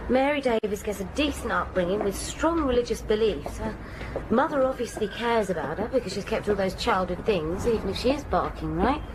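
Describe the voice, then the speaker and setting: An actress playing a shrewd, dry detective sidekick in a radio drama, theorising in a measured, naturalistic way. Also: female voice, medium pitch, animated, noisy background, natural voice. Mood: speculative.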